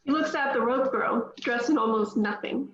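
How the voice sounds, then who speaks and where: Woman narrating a script table read; slightly high voice, roomy sound. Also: moderate pace, a bit bright, muffled recording, slight background noise. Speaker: narrator reading stage directions.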